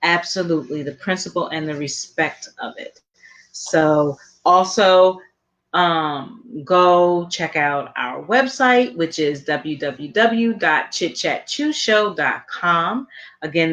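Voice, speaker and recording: A podcast co-host with a slightly raspy, tired voice, making casual show announcements in a halting way that repeats words. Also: medium pitch, a bit bright.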